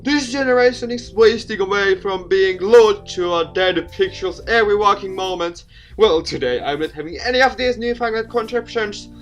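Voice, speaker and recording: Man acting a pompous ranting schoolteacher, pitched up. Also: moderate pace, faint music behind, natural voice. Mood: indignant ranting. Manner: emphatic, pompous. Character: ranting old-fashioned male schoolteacher, grumpy about technology.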